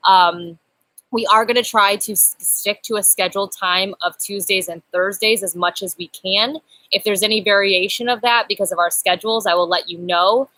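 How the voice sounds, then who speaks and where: Upbeat young woman host chatting on a live stream outside, bright forceful voice, dry close sound. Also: mid-range voice, steady tone, normal speed, no room sound, rough recording. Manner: chatty, upbeat, self-described loud voice. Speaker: channel host. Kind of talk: casual live stream chat. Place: outdoor patio by a house.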